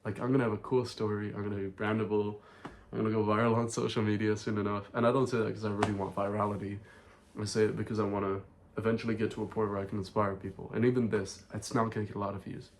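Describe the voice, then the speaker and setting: A man's voice: fairly low pitch, flat delivery, fast, slight background noise. A young man from Ireland with a blended Irish, American and London accent, chatting casually to camera in a slangy vlog, full of "like" and "bro". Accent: mixed Irish, American and London. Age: young adult. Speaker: vlogger.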